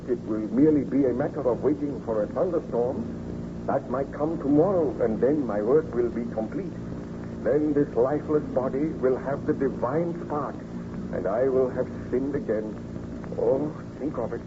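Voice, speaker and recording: An actor playing a tormented, guilt-ridden scientist in an old-time radio drama, melodramatic and formal. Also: male voice, medium pitch, normal speed, close to an everyday voice. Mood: anguished. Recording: muffled, noisy old radio recording.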